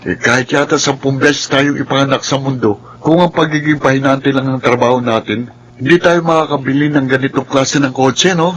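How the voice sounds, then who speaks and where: A man's voice: medium pitch, steady tone, fairly quick, slightly rough, lightly acted. A man playing a chummy, humble working-class friend with a Filipino accent, talking colloquially in a radio drama. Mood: awed, wistful.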